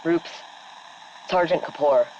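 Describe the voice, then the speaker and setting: A woman playing a shaken, sardonic army helicopter captain, giving a halting, bitter debrief for a game audio log. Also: sits low, a little mellow, highs cut off, slight background noise. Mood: grim, haunted. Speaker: military helicopter exfil pilot.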